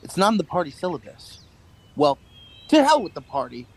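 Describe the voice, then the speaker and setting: A man's voice: a little high, big pitch swings, brisk, vocal fry, full character voice. An actor playing a nervous, weary man of thirty-nine in a radio play, hesitant and earnest. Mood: earnest, guarded. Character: nervous, weary thirty-nine-year-old rebel clerk.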